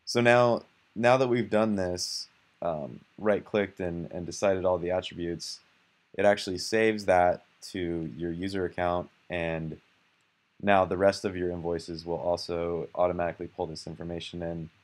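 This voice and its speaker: A young man casually narrating a screencast walkthrough of his software, relaxed and conversational, with fillers and self-corrections. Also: deep voice, moderate pace. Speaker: software developer demoing his app.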